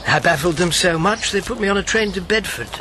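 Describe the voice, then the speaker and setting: An actor playing a witty older British former wartime codemaker in a radio drama, wry, playful and self-deprecating. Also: male voice, sits high, brisk, natural voice. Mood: wry, anecdotal. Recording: clear background noise.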